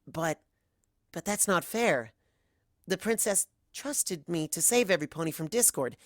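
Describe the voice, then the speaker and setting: A woman's voice: fairly low pitch, animated, unhurried, no echo, studio quality, pitched above the performer's own voice. A woman voicing an anxious young unicorn mare who pleads in a voice that cracks upward. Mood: pleading.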